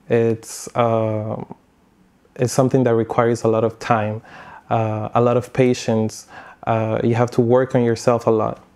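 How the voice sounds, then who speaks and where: Young male interviewee from the Caribbean, clear smooth voice, mid pitch, reflective personal testimony. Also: warm tone. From Saint Martin, Caribbean. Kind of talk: personal life testimony to camera.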